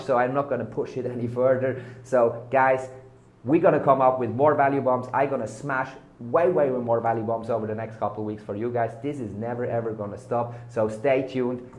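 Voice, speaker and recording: German-accented guy pitching marketing hacks, pumped and salesy, mid pitch, roomy echo. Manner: hyped, salesy, repeats buzzwords. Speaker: online marketing vlogger. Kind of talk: casual direct-to-camera sales talk. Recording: echoey room.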